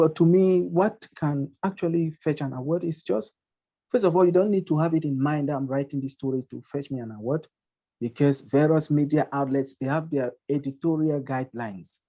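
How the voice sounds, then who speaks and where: A man from Cameroon walks through his reporting in fluent, steady explanation, circling back to repeat key phrases. His voice is mellow and slightly high. The sound is dull and lo-fi, as on a video call. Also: brisk. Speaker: award-winning journalist. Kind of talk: webinar panel answer.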